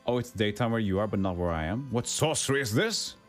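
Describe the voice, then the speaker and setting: A man's voice: lowish voice, lively intonation, fast talker, faint music behind. A man from Norway giving playful, theatrical, joking commentary as he streams a game.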